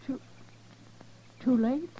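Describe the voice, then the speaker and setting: An actress playing an anxious frontier rancher's wife and mother in a 1950s western radio drama. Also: extremely slow. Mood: anxious disbelief. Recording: muffled vintage radio recording.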